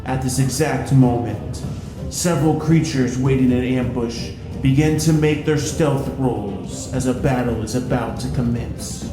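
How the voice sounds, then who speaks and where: A man's voice: medium pitch, unhurried. A man acting as game master, giving scripted narration of a fantasy adventure. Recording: roomy echo, music behind. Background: music.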